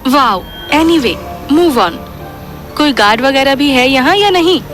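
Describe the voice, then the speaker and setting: Woman acting witch-ghost, sardonic, grumbling, very high voice, horror comedy audio drama, soft music. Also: expressive, slow pace, heavily acted. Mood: sarcastic.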